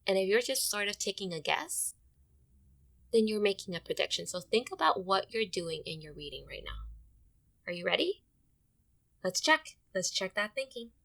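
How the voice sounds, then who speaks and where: A woman with a bright, forceful voice leads a children's read-aloud. She is an expressive teacher at a medium pitch. She addresses young readers directly and repeats her questions. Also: normal speed, vocal fry, pauses often. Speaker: elementary school teacher.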